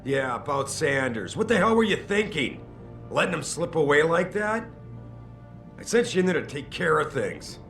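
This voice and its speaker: A man's voice: a little high, big pitch swings, normal speed, a bit bright, soft background music. An actor playing a blunt, demanding male company boss in a video game, speaking in a brusque, direct way. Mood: stern.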